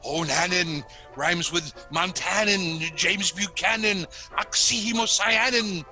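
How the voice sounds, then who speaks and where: A man's voice: high voice, takes it slowly, bright, forceful tone, faint music behind, full character voice. A man playing a flamboyant, suspicious radio station announcer, theatrical and comic, in an audio drama.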